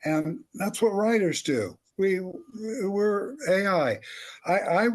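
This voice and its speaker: A man's voice: sits high, expressive, takes it slowly. An older man, a comedy writer on a panel, telling anecdotes in a wry, rambling, chuckling way. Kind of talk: animated anecdotal panel talk.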